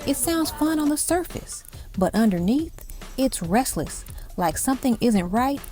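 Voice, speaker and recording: Mellow-voiced woman narrating a countdown. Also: high voice, big pitch swings, measured pace. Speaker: narrator of a music countdown video. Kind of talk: scripted documentary-style narration. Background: music behind the voice.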